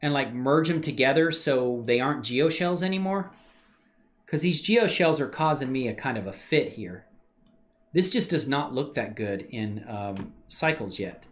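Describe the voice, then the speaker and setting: Casual streamer guy, mutters through his work, pitch bounces a lot. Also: measured pace. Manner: muttering and thinking aloud while working, answering chat; relaxed slangy talk with many fillers. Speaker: livestreamer doing 3D modeling. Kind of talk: casual think-aloud livestream.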